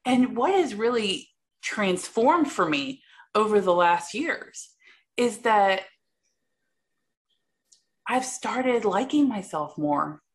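A woman's voice: mid-range voice, expressive, slow, long pauses. Blunt woman w a big voice, candid share on a video call, talks straight and swears. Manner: direct, blunt, swears freely. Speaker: support group member sharing. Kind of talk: candid personal share in a group meeting.

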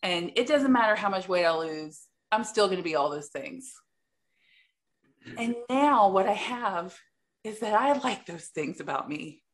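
A woman's voice: mid-range voice, expressive, a little slow. A woman with a big voice, sharing openly and bluntly in a support group over a video call, swearing freely. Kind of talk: candid personal share in a group meeting.

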